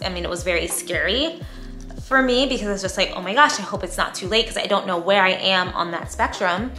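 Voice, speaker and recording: Woman in her thirties, bubbly and chatty, bright tone, echoey room with faint music. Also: medium pitch. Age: 30s. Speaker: lifestyle vlogger. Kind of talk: casual talk to camera. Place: bright home room, indoors.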